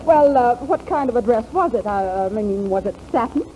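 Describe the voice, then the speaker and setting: Actress as a high-voiced, refined lady fashion expert. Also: animated, moderate pace, a loud crowd, close to an everyday voice. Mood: politely inquisitive. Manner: refined, lecturing, patient. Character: self-assured, fussy lady fashion author. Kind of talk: 1940s radio comedy acting. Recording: slightly hissy 1940s radio recording.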